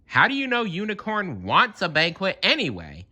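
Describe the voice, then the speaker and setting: A man's voice: sits high, huge pitch swings, moderate pace, bright, forceful tone, voice pushed up, heavily acted. A man voicing a cheeky male zebra skateboarder in a storybook, breezily calling out his lines. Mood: teasing.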